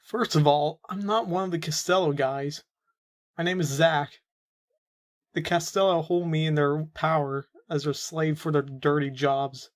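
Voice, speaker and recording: A man's voice: on the high side, fairly even intonation, measured pace, close to an everyday voice. Man playing a defensive young gangland captive. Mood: defensive, earnest. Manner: earnest, protesting, defiant. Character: defensive young male captive forced into gang work. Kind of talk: amateur audio drama roleplay.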